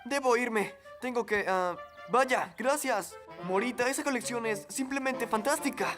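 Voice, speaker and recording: A woman voicing a flustered, polite adult character in a children's cartoon, halting and apologetic. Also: fairly high pitch, close to an everyday voice. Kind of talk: animated cartoon dubbing. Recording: background music under the voice.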